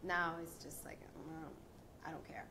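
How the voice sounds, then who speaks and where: A woman's voice: low-pitched, little pitch movement, unhurried. A young adult woman, an actress on a panel, talking earnestly and reflectively with frequent restarts. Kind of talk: panel discussion. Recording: audible background noise.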